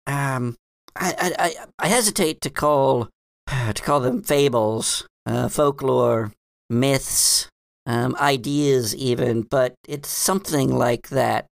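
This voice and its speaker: Tabletop game role: male performer as a knowing female librarian, guarded and halting, slow-paced with a creaky edge. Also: mid-range voice, dry recording, studio quality, voice pushed up. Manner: halting, searching for words, repeating herself. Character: knowing, cautious, scowling female librarian in glasses. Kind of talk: tabletop game character dialogue.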